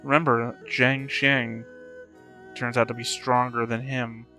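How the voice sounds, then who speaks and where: Male reaction host: casual, musing commentary, forceful bright tone, mid pitch, faint music behind. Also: little pitch movement, slow. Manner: musing, thinking aloud.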